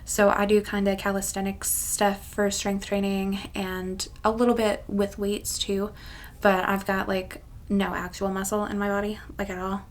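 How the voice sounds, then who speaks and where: A woman's voice: medium pitch, flat delivery, normal speed, a little hiss or hum. A woman of about thirty-one talking casually to her viewers in a rambling, self-deprecating way. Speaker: craft channel vlogger. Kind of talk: casual chatty vlog to camera.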